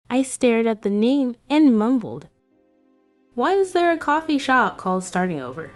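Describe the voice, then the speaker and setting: A woman's voice: a little high, a little mellow, no room sound, clean studio sound. Woman acting a heartbroken young woman telling her story. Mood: subdued. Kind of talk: scripted first-person comic dub narration. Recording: music behind the voice.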